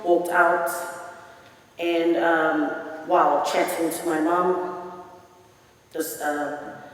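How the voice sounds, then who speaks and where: A woman in her forties giving an earnest, emphatic personal testimony at a public meeting. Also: on the low side. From South Africa. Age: 40s. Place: meeting hall. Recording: echoey hall, voice from far off.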